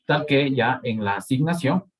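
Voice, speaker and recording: Slow-paced Ecuadorian Spanish lecturer. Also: male voice, medium pitch, expressive, husky. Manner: slow, checks in with frequent "¿sí?" and "¿de acuerdo?". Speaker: university lecturer. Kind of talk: live online coding lesson.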